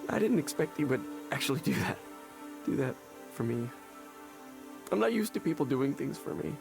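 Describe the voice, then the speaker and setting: A desperate anxious game character, halting and pleading, voice jumps around a lot, kinda creaky. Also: male voice, mid-range voice, very animated, over music. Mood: stunned, subdued. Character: desperate, anxious male client in counseling. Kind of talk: naturalistic visual novel voice acting.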